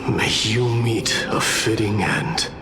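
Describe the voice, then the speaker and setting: A man's voice: lowish voice, animated, takes it slowly, heavy background noise. A man playing a grim, imposing and solemn warrior in a fantasy game. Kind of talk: scripted fantasy game dialogue. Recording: loud music behind the voice.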